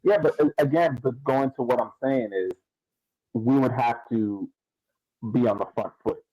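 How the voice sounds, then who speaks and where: A man on a sports podcast panel debating casually, building his arguments haltingly in stages. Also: mid-range voice, mellow, no echo, low-quality recording.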